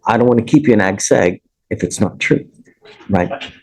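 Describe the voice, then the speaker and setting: A man's voice: lowish voice, moderate pace, a little mellow, rough recording. A man presiding as a judge in a courtroom, questioning plainly and informally, sometimes repeating himself. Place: indoor courtroom. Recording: courtroom audio.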